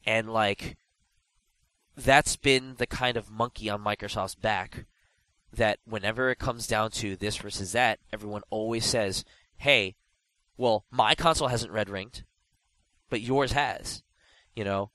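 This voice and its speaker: A man with a stuffed nose, co-hosting a casual gaming podcast and talking in an animated, rambling way with many restarts. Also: on the low side, measured pace, no echo, rough recording. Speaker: podcast co-host.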